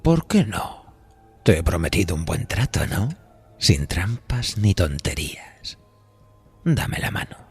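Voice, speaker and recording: Man acting a gravelly, whispery devilish conductor. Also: sing-song, a little mellow, exaggerated voice. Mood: persuasive, unhurried. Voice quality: low, gravelly, breathy near-whisper. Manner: unhurried, insinuating, amused, addresses the listener familiarly. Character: sly diabolical train conductor, a smooth devilish dealmaker. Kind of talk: audio horror drama acting. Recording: music behind the voice.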